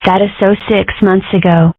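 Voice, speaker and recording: A woman's voice: medium pitch, little pitch movement, moderate pace, no room sound, natural voice. Woman voicing a fox girl, flippant, scheming, tinny dry audio. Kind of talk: fan comic dub.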